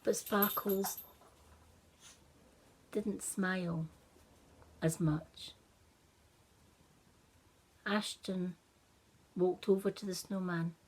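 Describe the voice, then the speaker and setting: A woman storyteller narrating a children's tale in an expressive storytime style. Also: lowish voice, very slow, pauses often, slight background noise.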